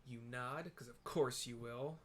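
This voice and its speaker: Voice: medium pitch, unhurried, a bit bright, slight background noise. A video game streamer giving casual, offhand gameplay commentary, thinking aloud.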